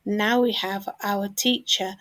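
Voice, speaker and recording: Woman, English teacher, slow measured pace, careful instructional delivery, stressed key words, grammar lesson. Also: medium pitch, fairly even intonation, fairly bright tone. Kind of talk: scripted grammar lesson for learners.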